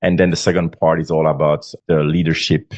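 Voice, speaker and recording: A deep, low-voiced man in his forties speaks with a Belgian accent. He talks earnestly and stops often on fillers. The sound is dry and a little dull, like a remote lo-fi line. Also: flat delivery, darkish tone, no echo. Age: 40s. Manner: earnest, halting with many fillers. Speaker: guest author. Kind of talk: podcast interview.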